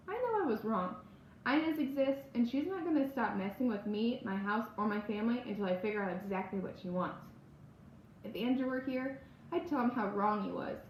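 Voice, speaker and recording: A woman reads a spooky first-person children's novel aloud in a dramatic, expressive storytelling manner. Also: a little high. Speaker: audiobook-style reader. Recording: roomy echo, faint background noise.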